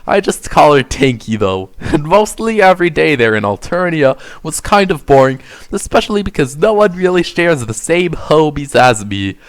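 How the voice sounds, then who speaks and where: A man's voice: fairly high pitch, very animated, moderate pace, hardly any pauses. A man narrating an amateur dramatic reading of fan fiction, delivering overwrought prose in a mock-serious way.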